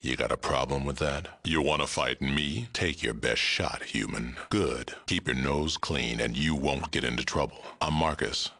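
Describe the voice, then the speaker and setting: An aging mutant sheriff from a video game, speaks in an extraordinarily deep register. The voice is thick with gravel and has a hard, forceful edge. He is blunt and dry, a world-weary lawman who keeps things fair. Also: normal speed, few pauses, heavily acted. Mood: gruff, challenging. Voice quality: gravelly, rumbling. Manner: blunt, terse, dry delivery. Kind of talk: video game character dialogue.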